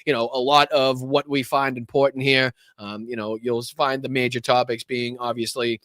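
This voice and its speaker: Brief: male livestream host, loose and profane, smooth voice, dry close mic. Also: mid-range voice, little pitch movement, moderate pace, fairly bright tone, dry recording. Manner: rambling, profane, many fillers. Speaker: podcast host. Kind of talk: casual livestream political commentary.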